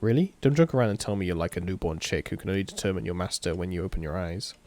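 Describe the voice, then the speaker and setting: A man's voice: sits low, quick pace, darkish tone, close to an everyday voice. A man voicing an irritable, proud young female mage from a visual novel, snappy and exasperated. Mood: defiant, skeptical.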